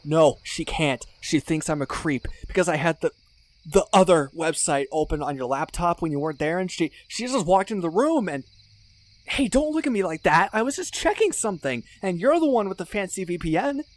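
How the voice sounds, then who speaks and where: A young man playing a teasing, earnest, geeky best friend in a casual ASMR roleplay, rambling conversationally with musing pauses. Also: high-pitched, lively intonation. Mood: teasing, flustered. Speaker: voice actor in an audio roleplay.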